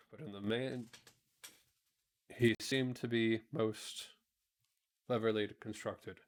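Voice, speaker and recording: A man narrating a children's storybook aloud, a little halting as he reads. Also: medium pitch. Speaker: narrator, streamer reading aloud.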